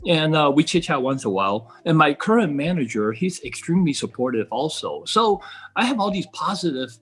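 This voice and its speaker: A middle-aged man from Taiwan speaking as a podcast guest in casual conversation, earnest and halting, rephrasing as he goes. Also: a little high, sing-song, fairly bright tone, slight background noise.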